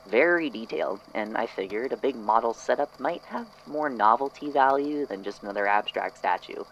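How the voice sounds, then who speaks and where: A man's voice: on the low side, vocal fry, a bit bright, slight background noise, poor audio. A man playing a casual, reluctant archivist in an audio drama, reading a witness statement aloud in an informal, hesitant way. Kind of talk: audio drama podfic, conversational first-person storytelling.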